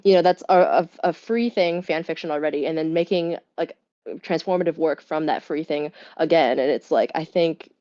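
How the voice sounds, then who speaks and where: A woman voice actor in a casual interview, talking fast with lots of "like"s and restarts. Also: sits low, warm tone. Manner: rapid, filler-heavy speech with many "like"s and restarts. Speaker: voice actor guest. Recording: muffled, lo-fi sound.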